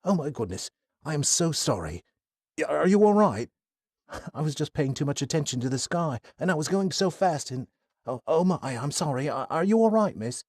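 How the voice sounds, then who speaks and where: A man's voice: a little high, sing-song, moderate pace, a little mellow, no echo, pitched above the performer's own voice. A man voicing a flustered, polite eighteen-year-old who stammers and apologizes a lot, in a storybook reading.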